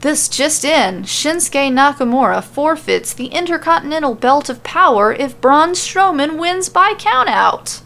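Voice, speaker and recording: Woman as a high, declarative male announcer. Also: lively intonation, measured pace, gravelly, hardly any pauses, pushed and bright, exaggerated voice. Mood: urgent announcing. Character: booming unseen male announcer, mouthpiece of titans.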